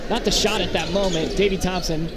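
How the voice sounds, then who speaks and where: A man's voice: high voice, fairly even intonation, brisk. A man doing live basketball play-by-play as the announcer, in short, clipped calls. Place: press booth at an indoor basketball game. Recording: very loud crowd and gym noise. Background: loud crowd, bouncing basketballs.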